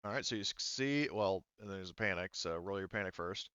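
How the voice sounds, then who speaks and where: A man's voice: sits low, brisk, dull audio, very noisy. A man acting as game master, casually narrating and refereeing a tabletop roleplaying game, thinking aloud and often correcting himself.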